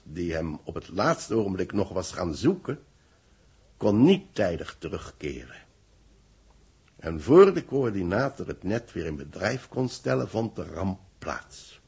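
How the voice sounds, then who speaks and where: An actor playing a grave, formal newspaper columnist dictating a report in a 1960s radio drama, with a husky voice. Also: male voice, lowish voice, darkish tone, full character voice. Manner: formal, measured, solemn phrasing.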